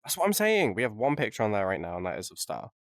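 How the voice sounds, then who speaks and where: A man with a fairly low voice chats as a podcast co-host, recorded close and dry. He rambles through loose, joking banter and swears freely. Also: wildly swooping pitch, fast talker, no room sound. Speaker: podcast co-host and video editor. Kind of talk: casual unscripted podcast banter.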